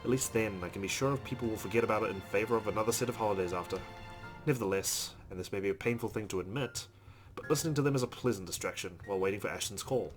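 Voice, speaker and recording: A man's voice: fairly low pitch, fast, faint music behind. A man reading a visual novel aloud as dramatic narration for a let's-play.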